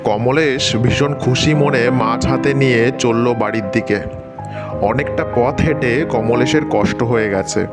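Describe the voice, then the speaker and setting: A man's voice: medium pitch, measured pace. A man narrating a scripted cartoon story as its storyteller. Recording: loud music bed under narration. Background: loud music.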